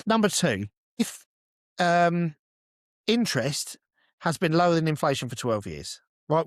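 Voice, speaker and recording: A man's voice: a little high, no echo, clean studio sound. A British man co-hosting a casual podcast chat, explaining his opinions in a sweary, insistent way and answering his own questions. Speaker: podcast co-host.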